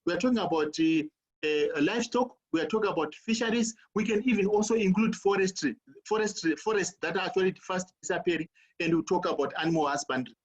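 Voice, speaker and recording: Professor on a panel, from Zimbabwe: high, breathy voice, halting delivery, dull lo-fi sound. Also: male voice. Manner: halting, repeats words as he searches for them. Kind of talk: panel discussion talk on video call.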